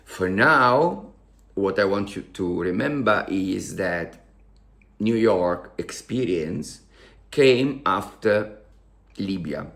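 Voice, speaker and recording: An Italian man speaks slowly and unhurriedly, pausing often and repeating words as he works through his English. His voice sits fairly low, and he talks with open emotion as a vlogger addressing his viewers. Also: animated, gravelly, a bit bright. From Italy. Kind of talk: casual storytelling to camera.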